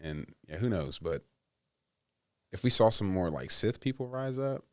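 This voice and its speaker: A relaxed man with a deep, low voice, chatting on a podcast and leaning into his points slowly. The sound is dry and close, with no room echo. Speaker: podcast co-host. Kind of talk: casual chat.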